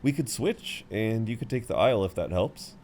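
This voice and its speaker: A man's voice: fairly low pitch, animated, normal speed, a little mellow, slight background noise. A man playing a chatty, good-humoured frequent flyer who rambles kindly to a seatmate, in an intimate roleplay audio. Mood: concerned, gently flirty. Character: chatty, friendly tall male frequent flyer comforting seatmate. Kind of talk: intimate roleplay audio acting, one side of conversation.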